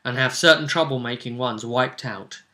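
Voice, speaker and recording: Male game host, bright forceful voice, casual conversational recap of a tabletop story. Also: medium pitch, moderate pace. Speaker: role-play session host.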